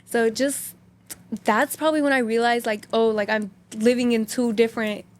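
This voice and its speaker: A woman's voice: a little high, fairly even intonation, normal speed. Chatty young woman, filler-heavy. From United States. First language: Hindi. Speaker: podcast guest. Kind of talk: casual interview chat.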